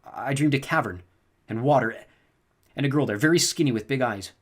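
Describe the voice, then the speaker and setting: A man's voice: mid-range voice, fairly quick, close to an everyday voice. A man voicing a serious, guarded teenage boy who speaks earnestly in an audiobook reading.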